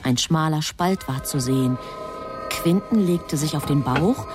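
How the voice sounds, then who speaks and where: A woman's voice: low-pitched, measured pace, over music. A woman reading scripted literary narration as the narrator of a radio drama.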